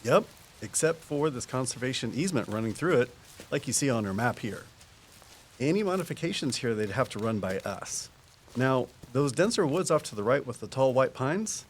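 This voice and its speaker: An actor plays a folksy small-town conservation man in an audio drama. His voice is medium in pitch, and he explains things patiently in an easygoing, down-to-earth way. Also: lively intonation, moderate pace, a little hiss or hum, lightly acted. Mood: explanatory, easygoing.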